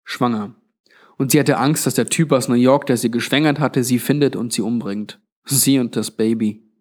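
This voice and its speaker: A man's voice: mid-range voice, steady tone, normal speed, close to an everyday voice. A man voicing a weary, blunt old undead man with a grave, resigned manner in a narrated story. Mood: grim, sad.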